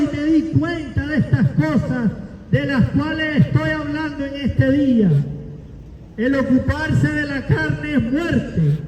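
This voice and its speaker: Guy in his 40s preaching, really high voice, slow and heavy, booming lists, mic far off in a ringing room. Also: slight background noise. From Cuba. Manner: declamatory, builds up lists and rises to shouts. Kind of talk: sermon with scripture reading and altar call. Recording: echoey, long reverb, from afar.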